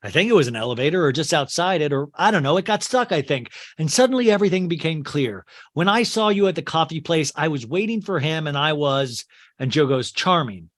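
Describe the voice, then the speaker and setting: A man's voice: a little high, expressive, a little fast. A man hosting a podcast with a strained, worn voice, recapping a film scene by scene and acting out its lines in put-on voices. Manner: acts out quotes in shifting put-on voices. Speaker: podcast host.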